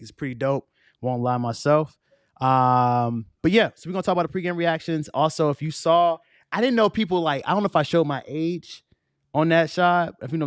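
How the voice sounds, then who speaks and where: A man hosting a podcast in a casual, slangy way, his voice hoarse and fading. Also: medium pitch, no room sound, clean studio sound. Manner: laid-back, slangy, conversational. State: losing his voice. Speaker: podcast host.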